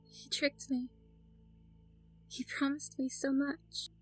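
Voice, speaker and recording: A woman playing a grieving, newly turned vampire mother in an audio drama, pleading in a hesitant, halting way. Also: high-pitched, level tone, soft background music. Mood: subdued, confessional. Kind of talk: cinematic audio roleplay acting.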